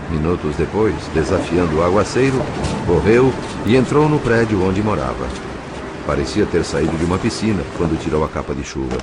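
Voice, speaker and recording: A man with a Brazilian accent narrating a novel as an audio drama, in a measured, literary storytelling style. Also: sits low, heavy background noise. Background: music.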